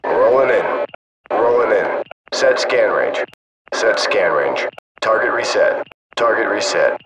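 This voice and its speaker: Deep-voiced military gunship crewman over noisy radio. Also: lively intonation, slow. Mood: businesslike. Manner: clipped, casual, detached. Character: cool, detached male military gunship crewman. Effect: military radio filter.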